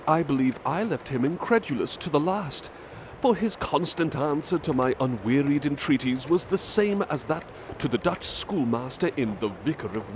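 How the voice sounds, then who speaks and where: A man voicing an excited, effusive young man greeting an old friend, set over loud street ambience. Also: on the high side, noisy background. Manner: bright, excited, effusive delivery.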